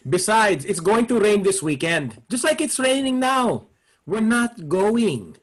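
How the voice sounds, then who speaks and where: A man's voice: high-pitched, very animated, unhurried, heavily acted. A man acting a grumpy, worried, cynical father in a staged play reading. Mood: grumbling. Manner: grumbling, exasperated.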